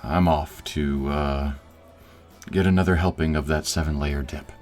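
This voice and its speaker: A man acting in an audio roleplay as a dignified older director and supportive family friend, speaking in a formal, kindly, measured way. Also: rumbling low, fairly even intonation, darkish tone, soft background music. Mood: slightly awkward.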